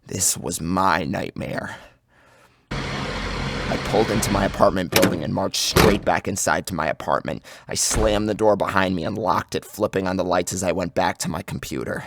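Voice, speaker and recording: Low-voiced man narrating horror. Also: fairly even intonation, normal speed, vocal fry, heavy background noise. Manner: grave, intense. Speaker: audiobook narrator. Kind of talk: dramatic first-person horror narration. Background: music.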